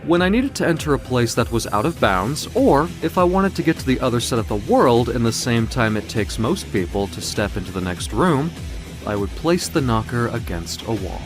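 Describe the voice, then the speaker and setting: A man playing a proud mythic Greek being who recounts his quests in a grandiose, measured way for an audio drama. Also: mid-range voice, sing-song, music in the background, close to an everyday voice. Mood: grand, solemn. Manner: grandiose, solemn, measured storytelling.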